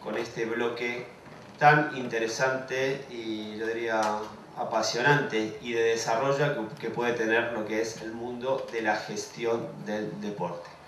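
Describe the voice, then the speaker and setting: A middle-aged man with an Argentine accent, a sport management professor opening a conference session in a measured, hesitant way with frequent pauses. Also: medium pitch, fairly even intonation, fairly bright tone. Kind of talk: conference lecture introduction. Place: large lecture hall. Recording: echoey hall from far off, faint noise.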